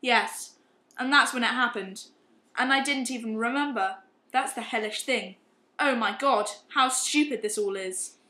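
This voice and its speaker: A guilt-ridden young gentleman drinker voiced by a woman in an amateur radio play. The voice is high and bright in a roomy recording, halting and ashamed as it confesses. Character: guilt-ridden, heavy-drinking young upper-class man.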